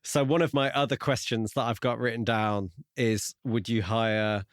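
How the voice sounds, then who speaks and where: A man's voice: mid-range voice, steady tone, normal speed, no echo, studio quality. A man co-hosting a podcast, casually musing over a book in a tentative, conversational way. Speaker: podcast co-host.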